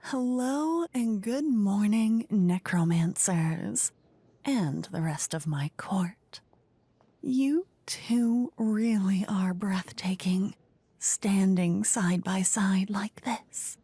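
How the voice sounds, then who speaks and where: A woman voicing a flippant, gleeful queen in a soft-spoken fantasy ASMR roleplay, playful and condescending in a theatrical way. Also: medium pitch, extremely slow, slightly rough, dry recording, heavily acted. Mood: gracious, amused. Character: gleeful, flippant queen presiding over her fantasy court.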